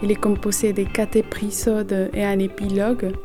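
A woman's voice: medium pitch, little pitch movement, normal speed, mellow. A woman hosting a radio show, presenting programme items in a conversational on-air style. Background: music behind the voice.

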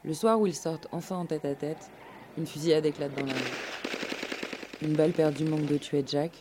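A woman's voice: deep voice, fairly even intonation, normal speed, background noise. A woman radio presenter reading a scripted documentary narration in a literary, storytelling way. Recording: live radio show with music. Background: music.

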